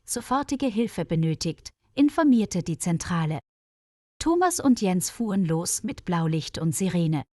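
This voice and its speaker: A woman reads a scripted story for learners in a measured, clearly articulated way. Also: medium pitch, darkish tone, no echo, studio quality. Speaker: narrator of a language-learning audio story.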